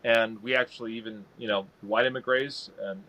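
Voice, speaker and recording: A man's voice: fairly low pitch, fairly even intonation, moderate pace, sharp tone, poor audio. A man from the United States co-hosting a podcast, recounting his family background in long, rambling turns with fillers. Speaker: co-host. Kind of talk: casual podcast chat.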